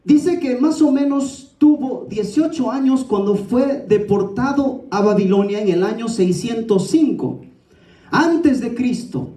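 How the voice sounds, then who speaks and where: A male preacher with a high-pitched but very soft, dark-toned voice. He teaches and exhorts with direct questions to his listeners. The sound is roomy and echoing. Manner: didactic and exhorting, with rhetorical questions and direct address. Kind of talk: sermon. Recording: echoey room, distant from the mic.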